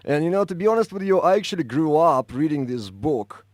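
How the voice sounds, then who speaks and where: A man's voice: a little high, animated, brisk, darkish tone, dry recording. A man, interviewing a guest in an eager, fan-like way. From Russia. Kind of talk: casual interview.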